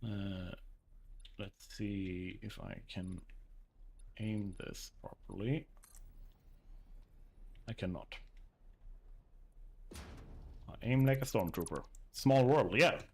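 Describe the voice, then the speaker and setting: A man's voice: on the low side, drawn-out words, vocal fry, long pauses, a little mellow, breathy edge. A man from Sweden with a Swedish accent, chatting casually on a game livestream, thinking aloud and reading chat. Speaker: streamer.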